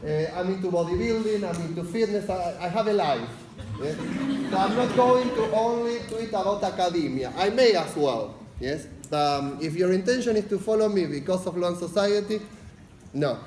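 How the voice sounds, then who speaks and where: Fast-talking Argentine lecturer in an echoey hall. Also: male voice, high voice, a little mellow. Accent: Argentine Spanish. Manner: fast-talking, frequent "yes?" check-ins, filler "uh". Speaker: university lecturer, unit leader. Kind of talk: lecture introduction. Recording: echoey lecture hall, faint background noise.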